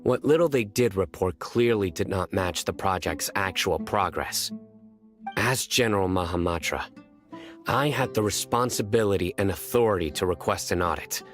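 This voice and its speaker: A man playing a stern, dignified general in a video game, speaking formally, gravely and deliberately. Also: deep voice, soft background music, lightly acted. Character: stern, dignified male general and law enforcer.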